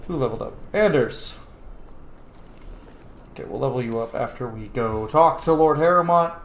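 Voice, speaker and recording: A man's voice: mid-range voice, normal speed. Sweary male gamer, roomy sound. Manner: sweary, self-mocking. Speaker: gaming video host. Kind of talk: casual game commentary.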